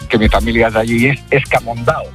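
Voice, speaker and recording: A man speaks as a caller on a radio phone-in. His voice is medium in pitch and his telling is casual. Faint music plays behind. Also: fairly even intonation, fast talker, a bit bright.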